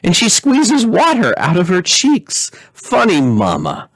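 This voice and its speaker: A man's voice: high-pitched, huge pitch swings, slow, fairly bright tone, no echo, pitched above the performer's own voice, heavily acted. A man voicing a giddy teenage princess, gleeful and mocking, as in a storybook.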